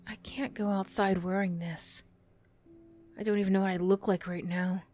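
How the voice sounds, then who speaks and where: A woman's voice: medium pitch, steady tone, moderate pace, darkish tone, faint music behind, lightly acted. A woman acting a flustered, defensive young former bully in an ASMR roleplay, stammering, bashful and snappy.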